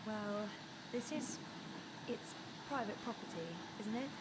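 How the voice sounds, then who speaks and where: A woman's voice: medium pitch, unhurried, very noisy, lightly acted. An actress playing an earnest, curious young woman adventurer in a video game, speaking casually and naturally. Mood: hesitant.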